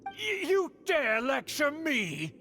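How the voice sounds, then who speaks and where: A man's voice: very high voice, wildly swooping pitch, bright, forceful tone, exaggerated voice. Pompous, wildly swooping older nobleman. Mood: outraged. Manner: pompous, indignant, drawn-out. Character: haughty, scheming older noble uncle, outraged and domineering. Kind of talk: dramatic video game voice acting. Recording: game music behind the voice.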